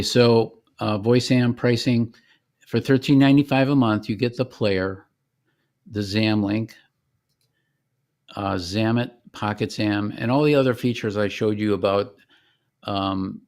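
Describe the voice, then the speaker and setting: Low-pitched man giving an informal product demo. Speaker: company founder demonstrating his product.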